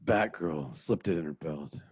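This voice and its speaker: Batman for a radio play: a man doing a low, husky, dry-voiced caped crusader who reasons with mock gravity. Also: a little mellow, no echo, exaggerated voice. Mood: deadpan. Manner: deliberate, mock-serious deductions. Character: Batman, grave adult male crime-fighter, smug deductive. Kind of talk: amateur radio play reading. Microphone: home mic, dry room.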